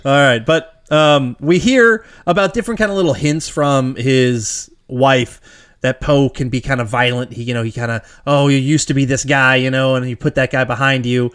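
A man co-hosting a casual movie podcast, animated and laughing often, his voice jumping up when he gets worked up. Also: mid-range voice, normal speed, clean tone. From Georgia, US. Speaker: podcast co-host. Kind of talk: casual movie review chat.

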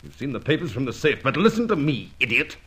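An actor playing a defiant, menacing villain in a vintage radio crime drama, theatrical and indignant. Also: male voice, medium pitch, very animated, fast talker, fairly bright tone. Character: defiant villain, menacing businessman caught at gunpoint.

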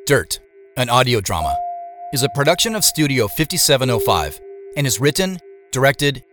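Male narrator, mid-pitched and expressive, for scripted audio drama storytelling and credits, music underneath. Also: normal speed.

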